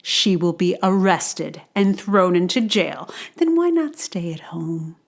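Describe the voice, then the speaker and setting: A woman's voice: on the low side, animated, takes it slowly, gravelly, full character voice. A woman voicing a prim, self-assured anti-suffrage orator of the 1910s in a theatrical monologue, pompous, emphatic and declamatory. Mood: earnest, emphatic. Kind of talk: theatrical satirical speech-making monologue.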